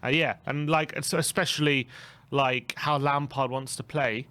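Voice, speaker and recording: A man's voice: medium pitch, fairly even intonation, measured pace, sharp tone. A man, co-hosting a casual football chat, talking in a rambling way with many restarts. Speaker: co-host.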